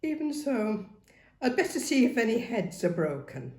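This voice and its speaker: A woman's voice: on the high side, big pitch swings, normal speed, warm tone, voice pushed up. A woman voicing a proper male doctor, anxious but determined, in a dramatic reading of an old-fashioned story. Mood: musing. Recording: roomy, echoing sound.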